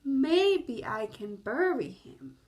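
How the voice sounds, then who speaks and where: A woman voicing a determined, easily exasperated bear in a children's storybook, with emphatic, drawn-out exclamations. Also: high voice, big pitch swings, a little mellow, exaggerated voice.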